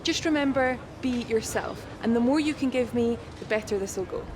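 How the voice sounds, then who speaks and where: An actress playing a brisk, businesslike female motorsport reporter doing an interview in a video game cutscene. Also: a little high, noisy background, natural voice. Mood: brisk, professional. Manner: brisk, directing, professional.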